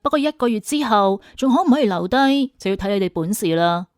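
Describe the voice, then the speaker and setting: A woman's voice: medium pitch, animated, fast talker, a little mellow, dry recording, natural voice. Drama role: authoritative businesswoman , played by a female narrator, cool, formal, close dry sound, light music bed. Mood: cool, businesslike. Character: cool, authoritative attractive female company boss.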